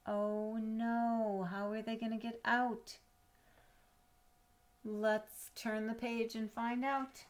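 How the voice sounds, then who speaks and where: A woman reading a children's storybook aloud expressively, with dramatic pauses, drawn-out words and questions to her young listeners. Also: on the high side, level tone. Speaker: storybook reader.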